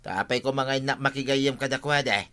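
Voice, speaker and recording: An actor playing a reluctant village husband with a grumbling, questioning manner in a radio comedy drama. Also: male voice, medium pitch, brisk, fairly bright tone, clean tone, close to an everyday voice.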